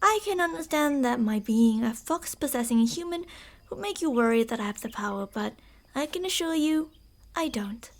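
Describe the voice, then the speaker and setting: A woman voicing an obsessive female fox spirit in a borrowed human body, speaking in a calm, formal, quietly manipulative way that dips into whispers. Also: high voice, moderate pace. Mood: devoted. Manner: soft-spoken, dipping into whispers. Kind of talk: ASMR roleplay.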